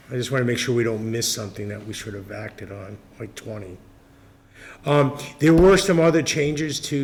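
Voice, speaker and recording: A man chairing a town finance committee meeting, chatty and thinking aloud. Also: medium pitch. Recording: public access meeting recording with faint noise.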